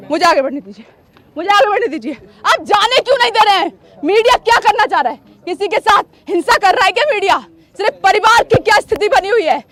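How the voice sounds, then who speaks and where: A woman with an extremely high-pitched voice reports live as a television field journalist. She is confrontational and agitated, pressing her points with insistent repetition. Her delivery is impassioned and emphatic, often raised. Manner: insistent, agitated, repeating demands and questions. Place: outdoors on a blocked road.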